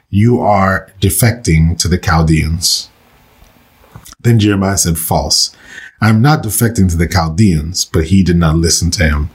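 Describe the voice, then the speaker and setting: Man, very low voice, husky, under the weather, slow meditative scripture reading. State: under the weather, losing his voice. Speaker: pastor leading scripture reading. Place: recorded for online listeners.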